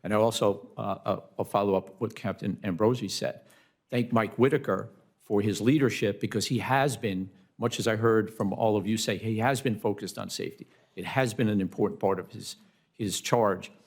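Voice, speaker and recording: A man testifying as a witness at a hearing, explaining his points formally but unscripted, in a measured, courteous way. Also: lowish voice, slightly rough. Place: committee hearing room.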